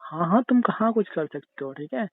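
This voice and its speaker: A man's voice: sits high, animated, moderate pace, no echo. A man playing a teasing young husband in intimate casual banter, coaxing and insisting playfully. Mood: mock sulky. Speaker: husband in a couple's call. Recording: tinny narrow phone-call sound.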